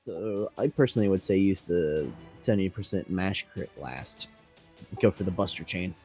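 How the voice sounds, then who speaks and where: A man's voice: low voice, animated, normal speed, a little mellow. A man chatting casually as a co-commentator on a game stream. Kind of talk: casual gaming chat. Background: music.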